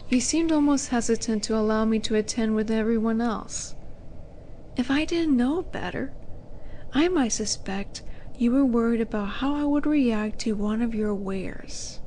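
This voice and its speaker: A woman voicing a haughty, teasing female demon in a roleplay audio drama, with a flirty, sardonic and deliberate manner. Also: on the high side, mellow, a little hiss or hum, natural voice.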